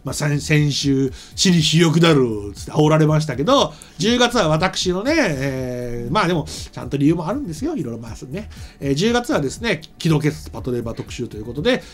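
Lively, high-pitched middle-aged man. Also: fairly quick, few pauses. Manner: rambling, thinking aloud. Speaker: show host and scriptwriter. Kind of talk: casual chat with announcements. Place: small studio set, indoors. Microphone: desk microphone on a stand.

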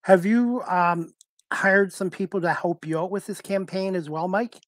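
A man's voice: high voice, normal speed, no echo. A middle-aged man hosting a talk show, asking informal, somewhat hesitant questions over a video call. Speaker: talk show host interviewer.

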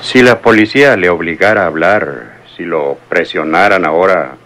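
An actor playing a ruthless male crime boss who gives orders in a calm, menacing way, in an old radio drama. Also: deep voice, moderate pace. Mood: cold menacing. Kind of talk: 1960s radio drama acting.

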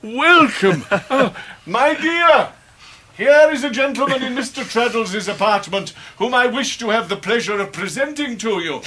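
A florid, effusive middle-aged English gentleman in a radio drama. He speaks high and very animatedly, pompous and theatrical, rolling out grand phrases. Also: animated, unhurried, a little hiss or hum, exaggerated voice. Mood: delighted, effusive.

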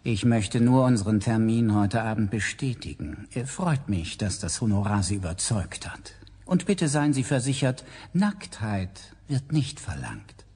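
An oily caller leaving a message in a radio play. The voice is dark and extremely breathy, sliding widely up and down with soft insinuation. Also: male voice, fairly low pitch, lively intonation, a little slow, heavily acted. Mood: oily, insinuating. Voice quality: breathy, husky. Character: slimy man leaving an answering machine message. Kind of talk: radio drama acting.

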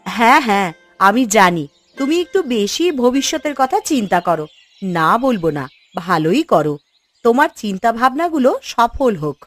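A woman voicing a caring, gently scolding village housewife in a cartoon, coaxing and homely. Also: a little high, big pitch swings, normal speed, soft background music. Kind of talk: Bengali cartoon story dialogue.

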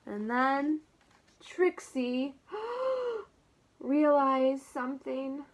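A woman teacher reading a picture book aloud to small children, expressive, with asides and simple explanations. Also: high-pitched, very slow, dull audio. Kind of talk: animated read-aloud storytelling for small children.

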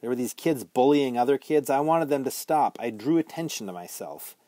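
A man's voice: medium pitch, moderate pace, warm tone, no room sound, pitched above the performer's own voice, natural voice. A man reading an audiobook, voicing a troubled boy raised in a graveyard who talks in a hesitant, subdued way. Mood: defensive.

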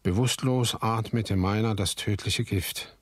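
An actor in a German radio drama playing a melancholy son who narrates his family's story in a breathy voice. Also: fairly low pitch, measured pace, no echo.

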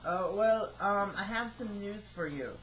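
A man in his twenties talking haltingly and questioningly, like a customer service caller on a phone call. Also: high voice, fairly bright tone. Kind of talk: hesitant phone conversation. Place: home office, indoors. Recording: noisy home computer microphone recording.

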